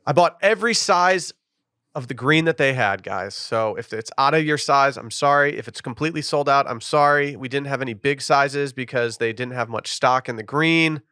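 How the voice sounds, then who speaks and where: Bright-voiced casual male podcast host. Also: mid-range voice, normal speed, clear, resonant tone, no room sound, studio quality. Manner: loose, rambling, lots of fillers. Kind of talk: casual podcast chat with ad reads.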